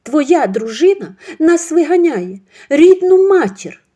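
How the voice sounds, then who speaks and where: A woman voicing a pushy, self-pitying older mother-in-law who talks in a high, wheedling tone. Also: sing-song, takes it slowly, warm tone, heavily acted. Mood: pleading. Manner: shrill, wheedling, high-pitched.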